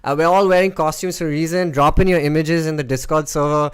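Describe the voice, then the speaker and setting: A man's voice: on the high side, fairly even intonation, quick pace, clear, resonant tone. A man with an Indian accent hosting a casual livestream chat in an informal, rambling way. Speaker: host of an online table read.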